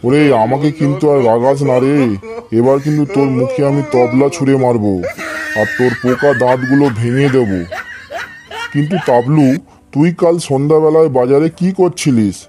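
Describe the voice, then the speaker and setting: A man's voice: mid-range voice, steady tone, moderate pace, music in the background, lightly acted. A man playing an exasperated, scolding schoolteacher in a comedy cartoon. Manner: scolding, threatening, theatrical. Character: exasperated male village schoolteacher scolding cheeky pupils. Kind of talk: comedy cartoon dialogue in Bengali.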